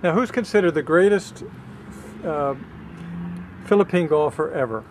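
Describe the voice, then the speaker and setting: A man interviewing a student, asking measured, polite questions outdoors. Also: a little high, animated, background noise.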